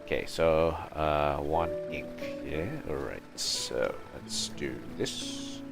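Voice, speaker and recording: A man livestreaming a game in a low-key, musing way, commenting casually and reading text aloud. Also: slow, vocal fry, over music.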